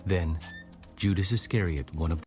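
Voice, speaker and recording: A man narrating a dramatized audio Bible as a solemn storyteller, formal and measured. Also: low-pitched, a little mellow, natural voice. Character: solemn male scripture narrator. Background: clear background music.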